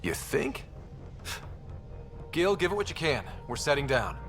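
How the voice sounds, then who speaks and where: A man's voice: on the high side, sing-song, a little slow. A man playing a young human explorer who commands a spaceship in a video game, plucky and wry. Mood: focused. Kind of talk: video game cutscene acting. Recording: loud music behind the voice.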